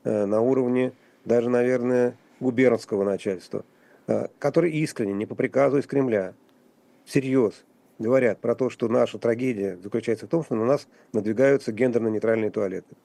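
A man speaking as a guest commentator on a radio talk show, halting and wry, with frequent restarts and fillers. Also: medium pitch, gravelly, warm tone, no echo. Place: radio studio.

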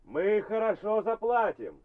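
Courteous workman, high lively voice, tinny old radio drama sound. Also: measured pace. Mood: polite, persuasive. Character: polite traveling power-line worker seeking lodging. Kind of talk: radio play acting. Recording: tinny, narrow-band old radio play.

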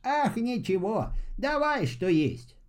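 A man voicing a kindly grey-haired old man in a fairy tale, gentle, folksy and instructive. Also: sits high, very animated, slow pace, pitched above the performer's own voice, exaggerated voice. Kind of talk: storybook fairy-tale voice acting.

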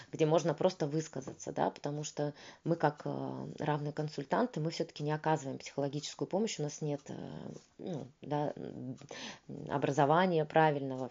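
A woman with a strikingly deep, low voice talks earnestly as a peer consultant. She explains at length and stops often to find the right word. The sound is dull and lo-fi. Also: vocal fry, dark tone. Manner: earnest, explanatory, pauses to search for words. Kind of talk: conversational interview answer.

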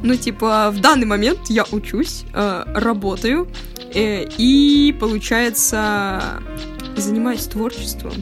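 A woman's voice: fairly high pitch, slow pace, music in the background. A woman in her twenties co-hosting a podcast in casual, slangy, giggly chat. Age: 20s. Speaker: podcast co-host.

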